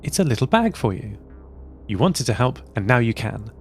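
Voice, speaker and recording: A man voicing a gentle, caring young woman who lives aboard a train. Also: mid-range voice, very animated, normal speed, soft background music.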